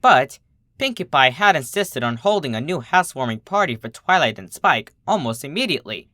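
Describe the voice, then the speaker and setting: An expressive storyteller reads scripted fiction in a bright, forward voice. The pitch moves around in a lively way, and the sound is dry and close. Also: fairly quick, no echo. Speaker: fan fiction reader.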